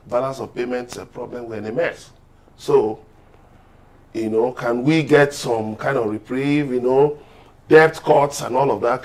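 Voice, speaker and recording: Emphatic Nigerian man, mid-pitched analyst. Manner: emphatic, repeats points for stress. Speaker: guest analyst. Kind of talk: economic and political commentary in a TV interview.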